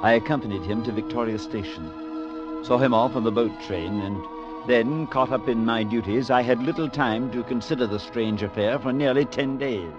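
An actor playing a sensible, concerned male priest in an old-time radio drama, measured, skeptical and earnest in both dialogue and narration. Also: mid-range voice, natural voice. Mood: grave, troubled. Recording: dull vintage radio recording, music behind.

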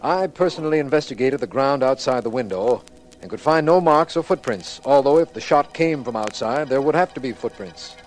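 An actor playing an earnest, brisk district attorney in a 1940s radio crime drama, with formal delivery. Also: male voice, medium pitch, expressive, darkish tone, soft background music, close to an everyday voice, low-quality recording. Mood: formal, grave.

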